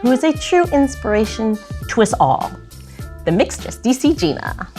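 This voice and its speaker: A woman's voice: a little high, sing-song, moderate pace, a little mellow, soft background music. A woman hosting a podcast in a chatty, informal, joking way. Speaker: podcast host.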